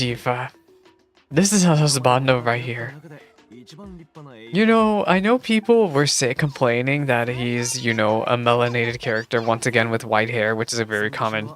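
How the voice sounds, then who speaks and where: A woman livestreaming a game playthrough, chatting casually with viewers in a gushing, expressive way. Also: a bass voice. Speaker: streamer reacting to a game playthrough. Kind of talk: casual livestream chat and commentary. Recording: game music behind the voice. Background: game music.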